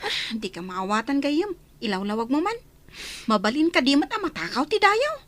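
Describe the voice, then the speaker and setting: Very high-pitched, curious young woman friend. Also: sing-song, moderate pace, a bit bright. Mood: concerned, questioning. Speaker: radio drama actor. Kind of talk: Ilocano radio drama acting.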